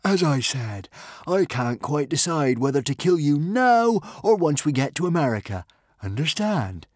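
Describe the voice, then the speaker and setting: A crazed Viking chieftain from a storybook, roaring high and loud with wild glee. His voice sits far above the reader's own, stretched into slow, gloating shouts. The sound is close and dry. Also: very animated, no room sound. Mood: manic glee. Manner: gleeful, roaring, drawn-out shouts. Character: manic bloodthirsty male Viking chieftain.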